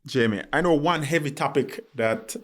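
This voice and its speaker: A man's voice: on the high side, normal speed, a little hiss or hum. A man hosting a podcast interview, talking reflectively and earnestly and often giving short acknowledgements while his guest speaks. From Jamaica. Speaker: podcast host. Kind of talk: interview conversation.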